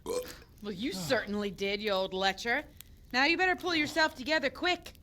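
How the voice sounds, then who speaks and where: A woman's voice: fairly high pitch, expressive, moderate pace, a little hiss or hum. An actress playing a brash, bossy, scolding medieval woman in a video game. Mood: scolding, mocking. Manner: sharp, coarse, commanding. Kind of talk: video game cutscene acting.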